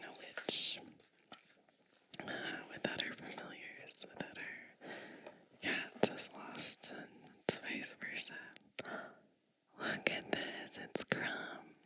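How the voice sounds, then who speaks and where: A woman whispering a bedtime storybook in an ASMR style, gentle and unhurried, close to the microphone. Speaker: ASMR host reading a storybook aloud.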